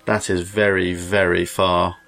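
Guy doing a big daddy hare from a storybook, lowish voice, gentle n unhurried, music behind. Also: flat delivery, creaky, full character voice, poor audio. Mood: tender, soft. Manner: unhurried, tender reassurance. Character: big, gentle, loving adult male hare. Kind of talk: storybook character reading.

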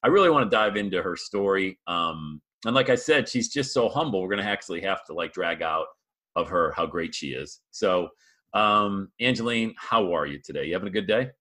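A man with a low, forceful voice and a hyped, pumped-up way of talking. He hosts a motivational podcast interview over a video call and leans hard on energy and encouragement. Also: lively intonation, fairly quick. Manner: hyped, pumped-up, shouty catchphrases. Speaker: podcast host.

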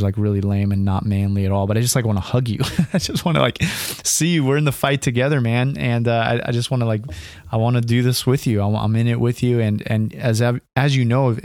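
Man, 30s, hoarse, casual rambling podcast host, mid-pitched voice, dry room. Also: a little mellow, dry recording. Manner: casual, rambling, many fillers and "dude", "man". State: hoarse from a cold. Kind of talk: casual solo podcast talk. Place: alone in a room at home. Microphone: home recording at a computer.

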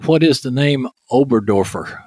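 A man's voice: medium pitch, lively intonation, moderate pace, darkish tone, no echo. A man with a Texan accent speaking as a podcast host in a casual, folksy manner. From Texas, United States. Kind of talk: conversational interview with scripted sponsor read.

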